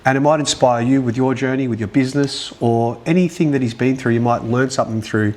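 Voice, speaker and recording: A man's voice: mid-range voice, fairly quick, a little mellow. A man with a mid-range voice hosts a casual podcast interview in an upbeat, joking way. Faint noise hums under his voice. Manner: upbeat, jokey, lots of "yeah". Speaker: podcast host.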